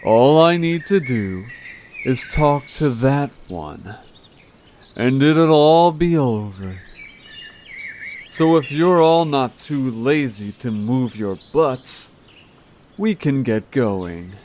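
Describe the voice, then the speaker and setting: A man plays a scheming monster villain who leads his gang with slow, sarcastic condescension. He talks in an unhurried drawl, as in an audio drama. Birdsong and steady noise sit behind the voice. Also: medium pitch. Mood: menacing, condescending. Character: manipulative male Fleen gang leader, vengeful, sarcastic monster villain. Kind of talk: scripted audio drama acting. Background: birdsong, forest ambience.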